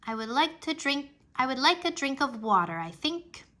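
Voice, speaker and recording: A woman voicing a bossy, excitable little kindergarten girl in a children's storybook, with a cheeky, emphatic, childlike delivery. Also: sits high, normal speed, voice pushed up, full character voice. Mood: fretful. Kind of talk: children's storybook read-aloud.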